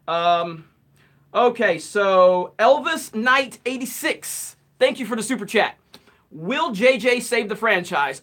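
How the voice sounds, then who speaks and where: A man in his late thirties hosting a live Q&A stream, reading out viewer comments and answering in a relaxed, rambling way. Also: high voice. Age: 30s. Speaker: host.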